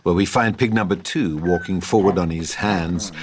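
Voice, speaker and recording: A man's voice: low-pitched, normal speed, noisy background. A man reading scripted screenplay narration as the narrator of a table read.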